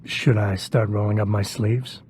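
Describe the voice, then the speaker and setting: An actor playing a terse, sardonic, augmented ex-cop with deadpan delivery in a video game cutscene. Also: male voice, fairly low pitch, unhurried, a little hiss or hum, close to an everyday voice. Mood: dry, guarded.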